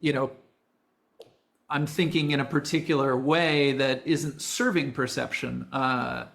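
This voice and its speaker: A man's voice: medium pitch, steady tone, a little slow, vocal fry, fairly bright tone. A man hosting a casual podcast conversation, searching for his words and often repeating them as he thinks aloud. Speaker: podcast host and course creator.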